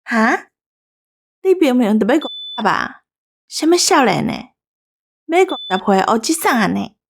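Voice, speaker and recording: Lively, higher-voiced Taiwanese woman co-host. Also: unhurried, creaky, slight background noise. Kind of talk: scripted casual chat.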